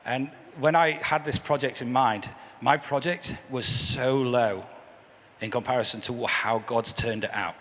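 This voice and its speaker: A man with a hoarse, croaky voice gives an earnest church testimony as a guest speaker, often repeating words as he restarts. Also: mid-range voice, steady tone, measured pace, a bit bright. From England. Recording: echoey hall with faint ambience.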